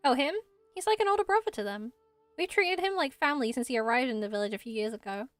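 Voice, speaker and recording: A woman's voice: high voice, expressive, quick pace, dry recording, faint music behind. A woman voicing a kind, earnest young female forest patroller who is protective like a big sister, in an amateur game voice-over. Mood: warm, grateful.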